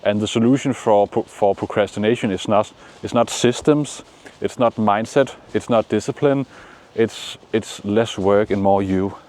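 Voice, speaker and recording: Mellow-voiced Danish man, calm coaching. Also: fairly low pitch. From Denmark. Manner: calm, encouraging, with frequent pauses. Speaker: manifestation coach. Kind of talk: casual motivational vlog talk. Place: outdoors in the shade.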